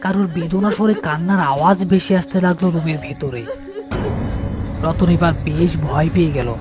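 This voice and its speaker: A man's voice: a little high, brisk, over music. A man narrating a scripted horror cartoon as a storyteller.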